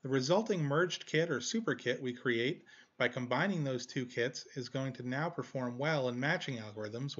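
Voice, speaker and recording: A man in his forties presenting an explanatory tutorial in a measured, didactic way. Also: mid-range voice, fairly bright tone, lo-fi sound.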